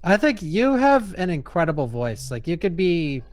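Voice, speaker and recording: A man with a somewhat high voice hosts a game stream over voice chat. He talks casually and loves to tease and joke with his partner. It sounds like a home streaming setup. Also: very animated. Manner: teasing, playful, quick to joke. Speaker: streamer host. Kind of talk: casual voice-chat banter while gaming.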